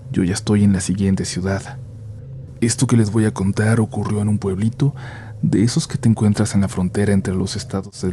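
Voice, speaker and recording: A man narrating a first-person horror story in a hushed, measured way, sometimes dropping to a near-whisper. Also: lowish voice, slight background noise.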